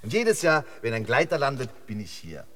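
An actor playing a chatty, eccentric local guide in a decayed city, rambling and effusively hospitable in a radio drama. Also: male voice, mid-range voice, huge pitch swings, moderate pace, sharp tone. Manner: effusive, rambling, quirky hospitality, chuckles to himself. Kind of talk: German radio drama acting.

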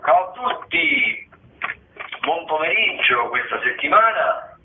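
A man giving theatre commentary as a radio critic, in a halting, discursive manner with long winding sentences. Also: a little high, big pitch swings, noisy background. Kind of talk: spoken theatre listings and commentary. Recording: narrow tinny phone line.

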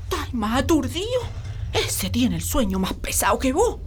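An actress playing an alarmed, nagging rural Chilean wife, urgent and shrill, in a radio drama. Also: female voice, very animated, normal speed. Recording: music behind the voice.

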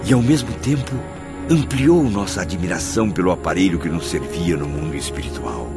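Low male spirit storyteller, wonderstruck, theatrical, expressive, radio drama, music underneath. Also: a little fast. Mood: enchanted. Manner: theatrical, florid, savouring. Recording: music behind the voice.

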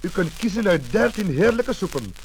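Casting: male radio-ad announcer with a high-pitched delivery, scripted, on a lightly noisy old record. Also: big pitch swings, moderate pace. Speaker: advertising announcer. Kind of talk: scripted radio commercial narration. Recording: old lacquer test disc, surface hiss.

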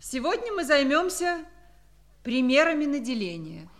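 A woman's voice: high-pitched, very animated, moderate pace. A woman playing a patient but exasperated schoolteacher in a children's audio play, instructing and reproachful. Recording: faint hiss on old recording.

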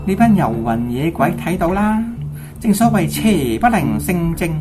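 A woman's voice: on the low side, sing-song, a little slow, over music. A woman playing a resolute, compassionate Taoist priest in a radio drama, firm and assured. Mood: commanding. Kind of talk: Cantonese radio drama acting.

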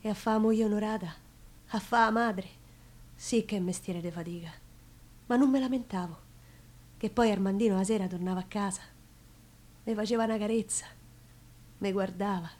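A woman's voice: mid-range voice, natural voice. An actress playing a passionate, plain-spoken working-class woman with a Roman accent, recalling her love in an intimate theatrical monologue. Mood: wistful recollection. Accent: Roman Italian. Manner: intimate, emotional storytelling with expressive pauses. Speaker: stage actress.

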